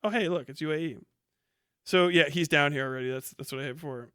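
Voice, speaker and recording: A man's voice: a little high, quick pace, a bit bright, smooth, no echo, studio quality. A man hosting a livestream with casual reaction commentary, talking and reading aloud in a blunt, mocking, profane way. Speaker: livestream host.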